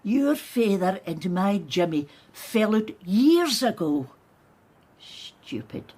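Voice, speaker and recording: A woman playing a stubborn, wistful old Scottish aunt with a husky voice in a radio drama. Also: mid-range voice, sing-song, takes it slowly, warm tone, exaggerated voice. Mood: wistful, rueful. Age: older.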